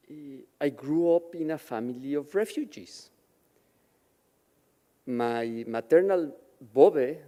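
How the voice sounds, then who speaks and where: Slow-spoken man from Mexico, reverberant chapel. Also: medium pitch, mellow. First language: Yiddish. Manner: slow, measured, emotional storytelling. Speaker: guest speaker at a Shabbat service. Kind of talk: personal testimony speech. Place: synagogue chapel.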